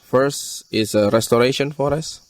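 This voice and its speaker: Halting Indonesian-accented man, slightly off mic. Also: medium pitch, dry recording, far from the mic. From Borneo, Indonesia. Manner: earnest, halting. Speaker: conservation group leader being interviewed. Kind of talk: interview answers in non-native English.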